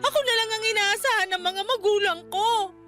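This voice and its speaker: Hurt angry filipino maid, super high voice, tearful and accusing, radio drama w music under. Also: female voice, a little fast, sharp tone. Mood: hurt, reproachful. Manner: tearful, reproachful, emphatic. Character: betrayed, hurt young housemaid, angry and proud.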